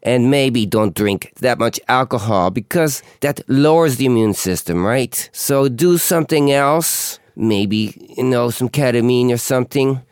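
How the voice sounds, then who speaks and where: A man's voice: mid-range voice, unhurried, few pauses, smooth, dry recording, clean studio sound. A man hosting a podcast with a scratchy voice, rambling and joking at his own expense. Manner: chatty, joking, self-mocking. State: hoarse, scratchy voice. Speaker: podcast host. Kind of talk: casual rambling monologue.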